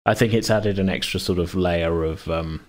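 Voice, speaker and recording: A man's voice: sits low, normal speed. A man hosting a casual podcast chat, rambling, often correcting himself and chuckling. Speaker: podcast host and game master.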